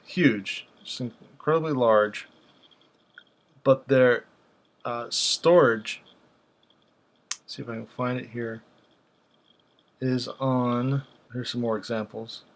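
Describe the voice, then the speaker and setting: A man talks casually through examples in a flat, even voice. His delivery is unscripted and halting, as if thinking aloud while he browses, with very little rise or fall in pitch. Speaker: video host.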